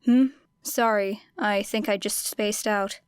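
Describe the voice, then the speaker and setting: A woman's voice: medium pitch, steady tone, takes it slowly, smooth, dry recording, natural voice. A woman voicing a weary, guarded teenage girl student with a dry, reserved delivery in an audiobook. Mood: apologetic, wary.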